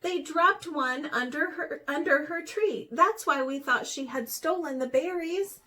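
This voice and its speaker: A woman voicing an excitable, anxious storybook hedgehog in an earnest, exclamatory way. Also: high-pitched, unhurried. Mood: excited realization.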